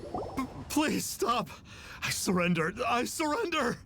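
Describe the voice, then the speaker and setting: Pompous, theatrical charlatan, animated delivery. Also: male voice, very high voice, slow, creaky, music in the background, exaggerated voice. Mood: panicked pleading. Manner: pompous, calls himself "one", theatrical. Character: pompous male charlatan posing as an immortal, cowardly. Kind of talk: anime video game voice acting.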